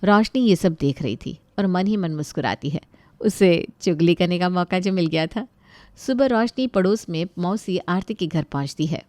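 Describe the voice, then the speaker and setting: A woman's voice: fairly low pitch, animated, normal speed, a little mellow, dry recording. A woman narrator reading a scripted family story.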